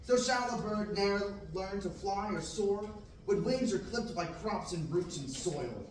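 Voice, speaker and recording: A man's voice: high-pitched, animated, a little slow, a little hiss or hum. A young man reading the part of a restless young farm boy in Shakespearean verse at a student table reading, earnest and declaiming. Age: young adult. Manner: earnest, declaiming, occasional slips. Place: large classroom, indoors. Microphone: far camera. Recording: echoey room, from far off.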